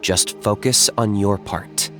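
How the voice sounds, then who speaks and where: An actor playing a cool, serious young warrior in a video game, matter-of-fact and reserved as a guide. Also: male voice, fairly low pitch, steady tone, takes it slowly, over music. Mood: instructive, serious.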